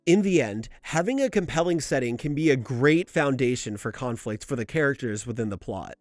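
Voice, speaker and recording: A man's voice: medium pitch, lively intonation, brisk, dry recording, clean studio sound. A man narrating a scripted video essay in an opinionated, conversational way, with asides. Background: background music.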